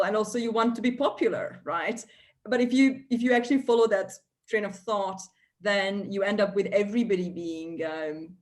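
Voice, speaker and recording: Woman hosting an online salon. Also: mid-range voice. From Hungary. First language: Hungarian. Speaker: host, founder.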